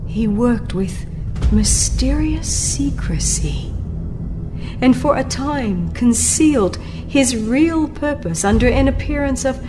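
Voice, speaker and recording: A woman reading a religious text as a dramatized audiobook, with solemn, measured phrasing and frequent pauses. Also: a little high. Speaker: narrator. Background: music under the voice.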